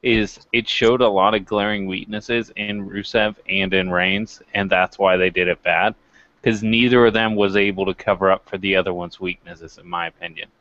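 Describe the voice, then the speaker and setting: A man's voice: deep voice, fairly even intonation, moderate pace, fairly bright tone, muffled recording. A man debating casually as a review co-host, insistent and sweary, repeating his points.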